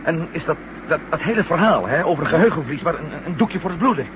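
A man's voice: on the high side, animated, fast talker, natural voice. Muffled recording, weary troubled man acted. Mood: anxious, pleading. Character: weary, troubled amnesiac former astronaut, male. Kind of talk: radio drama acting. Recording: muffled, noisy old radio recording with hum.

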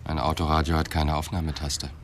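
An actor playing a calm police detective confronting a suspect in a radio play, measured, probing and restrained. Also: male voice, a bass voice, slight background noise. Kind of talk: 1990s German radio play acting.

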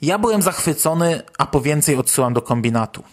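A man's voice: a little high, animated, brisk. A man hosting a podcast, giving conversational commentary. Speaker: podcast host.

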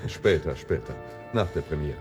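An actor playing an aloof, curt, self-important star conductor in a radio play. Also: male voice, extremely low pitch, expressive, moderate pace, music in the background. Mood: dismissive.

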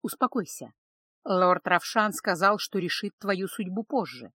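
Woman as a calm, consoling harem woman. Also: medium pitch, slightly rough, dry recording, clean studio sound, full character voice. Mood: reassuring, firm. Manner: soothing, gentle, measured.

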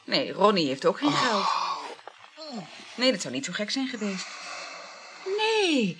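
A woman's voice: medium pitch, huge pitch swings, measured pace, fairly bright tone, slight background noise. An actress in a radio play, playing a flirtatious, gushing woman who juggles several lovers and chats effusively with sighing exclamations. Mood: chatty, pleading. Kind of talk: naturalistic radio play acting.

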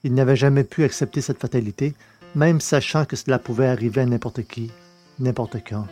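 Male audiobook narrator, mid-pitched, measured and steady, podcast novel reading. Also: faint music behind. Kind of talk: scripted narration of a science-fiction novel.

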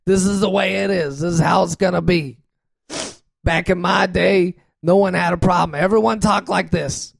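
A man playing an ancient, warty, chain-smoking old woman in an improv comedy scene, loud and grumbling about the old days. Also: high-pitched, steady tone, moderate pace, vocal fry, no echo, clean studio sound, full character voice. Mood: grumpy.

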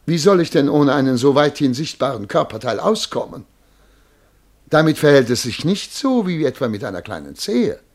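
An actor playing a self-important, easily flustered male official in a radio play, halting, pompous and pleading, with a husky voice. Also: mid-range voice, sing-song, darkish tone. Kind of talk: German radio play acting.

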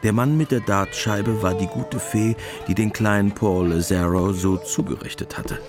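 A man with a deep voice reads the scripted narration of a radio drama. Music plays clearly beneath his words. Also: moderate pace.

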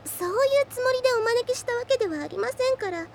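A woman's voice: extremely high pitch, expressive, rapid-fire, creaky, slight background noise, exaggerated voice. A woman playing a sweet, modest and very polite teenage demon princess in an anime audio drama. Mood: flustered.

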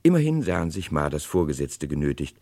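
A man with an extremely deep voice acts as narrator in a dry studio. He delivers scripted true-crime narration in the 1960s radio manner. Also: very animated, fairly quick, warm tone, no room sound.

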